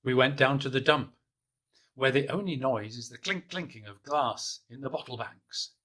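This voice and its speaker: A man gently reading a children's picture book aloud in the first person, in a soft, hushed and unhurried way. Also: mid-range voice. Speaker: storybook reader.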